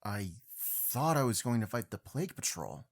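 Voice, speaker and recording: An actor playing an earnest, battle-weary masked hero in an audio drama, with a husky voice. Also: male voice, medium pitch, very animated, normal speed, very noisy. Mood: puzzled, troubled.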